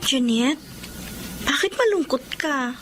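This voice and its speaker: High, animated Filipino best friend. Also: female voice, takes it slowly, over loud music. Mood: coaxing. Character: frank, encouraging female friend Joyce, lively. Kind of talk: Filipino radio drama acting.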